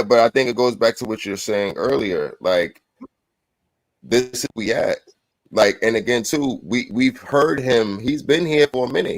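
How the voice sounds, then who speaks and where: A man's voice: medium pitch, no room sound, poor audio. Matter-of-fact man, dry sound. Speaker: podcast co-host. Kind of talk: casual podcast chat.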